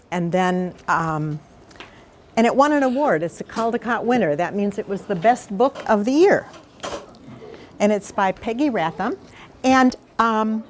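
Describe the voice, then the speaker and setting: A woman's voice: mid-range voice, a little hiss or hum. Female read-aloud storyteller for elementary kids, informal and explanatory, interactive with the listeners. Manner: explanatory, pauses to question the children. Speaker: mayor reading aloud to schoolchildren.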